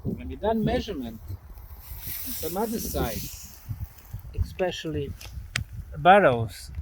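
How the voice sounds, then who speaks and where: A man presents on site as a researcher, explaining in an unscripted monologue with emphatic, deliberate phrasing and pauses. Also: on the high side, big pitch swings, poor audio. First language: Bosnian. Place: outdoors at an open monument site. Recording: outdoor ambient noise, urban or vehicle sounds.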